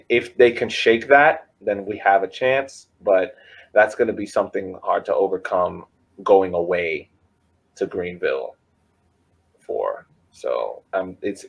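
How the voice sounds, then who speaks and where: A man co-hosting a soccer podcast, talking casually with many pauses and restarts. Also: low-pitched, lively intonation, gravelly, husky. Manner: hesitant, many ums and restarts. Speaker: podcast co-host reporting on a press conference.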